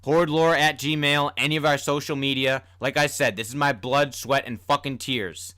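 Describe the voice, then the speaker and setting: A man's voice: mid-range voice, steady tone, normal speed, bright, forceful tone, no echo, studio quality. A man hosting a podcast, talking casually and informally with self-deprecating asides. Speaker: podcast host and storyteller. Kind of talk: casual host talk.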